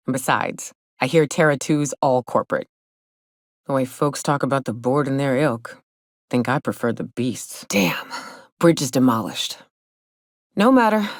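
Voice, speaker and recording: A gruff female monster hunter from a video game, pitched low and recorded very dry. She drawls in a folksy, sardonic way, like a hard-drinking trail guide who has seen plenty. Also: no echo. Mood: matter-of-fact. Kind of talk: video game character dialogue.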